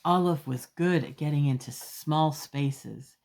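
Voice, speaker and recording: A woman's voice: deep voice, unhurried, gravelly, darkish tone. A woman storyteller reading a children's picture book aloud expressively, with emphatic phrasing and small asides to young listeners.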